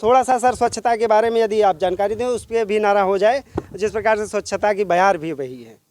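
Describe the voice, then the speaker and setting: A man's voice: sits high, brisk, a little mellow. A man from presenting a village news report outdoors at a primary school, speaking in a formal, flowery style.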